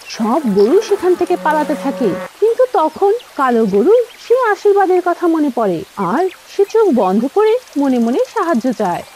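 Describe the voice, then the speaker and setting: Woman storyteller, very high pitch, soft mellow timbre, children's cartoon narration.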